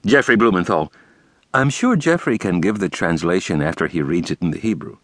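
A man reading a calm, wry rabbi. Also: sits low, a little fast, no echo, studio quality, heavily acted. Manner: calm, mildly amused.

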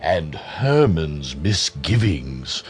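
Slow, wry man narrating over music. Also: a bass voice, very animated. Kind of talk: scripted storybook narration.

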